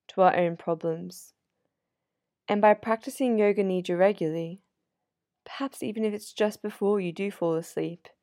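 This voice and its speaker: A woman guiding a sleep meditation in a soothing, unhurried, gently instructive manner. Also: medium pitch, no echo, clean studio sound. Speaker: yoga nidra meditation guide. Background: soft sound healing instrumental music.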